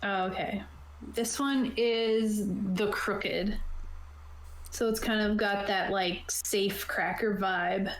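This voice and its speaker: A woman's voice: mid-range voice, fairly even intonation, slow pace, slight background noise. A woman casually explaining tabletop game rules and reading rulebook passages aloud, as a game master on an online call. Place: indoor room, online video call. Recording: roomy, echoey home recording.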